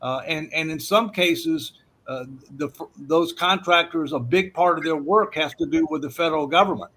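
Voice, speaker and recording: A man's voice: fairly high pitch, moderate pace, bright, forceful tone. A man hosting an economics forum, explaining policy effects with frequent hesitations and "okay" checks.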